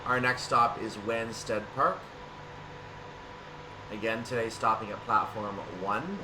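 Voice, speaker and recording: A man's voice: mid-range voice, slow pace, far from the mic, background noise. A man giving casual gameplay commentary on a train simulator, thinking aloud and correcting himself as he goes. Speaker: gaming video host.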